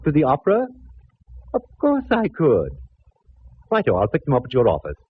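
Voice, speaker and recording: An actor playing a fussy, genteel, affectionate gentleman in a vintage radio detective drama, speaking effusively with endearments. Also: a little high, huge pitch swings, normal speed, husky, exaggerated voice. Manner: effusive, fussy, calls people dear and love. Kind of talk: 1940s-50s radio detective drama acting. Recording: muffled, noisy vintage radio recording.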